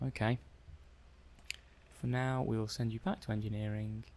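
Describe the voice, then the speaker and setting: Man acting a low, creaky-voiced curious lab technician. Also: level tone, unhurried, a little mellow, slight background noise. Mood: intrigued, musing. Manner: hesitant, musing, thinking aloud while taking notes. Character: curious male robot-testing technician, methodical and intrigued. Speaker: roleplay performer. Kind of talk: ASMR roleplay, soft-spoken improvised monologue.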